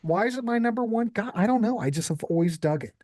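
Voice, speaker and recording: A man's voice: sits high, animated, quick pace, darkish tone, no room sound, studio quality. A man hosting a casual music podcast, chatty and upbeat as he passes the talk to guests. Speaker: host.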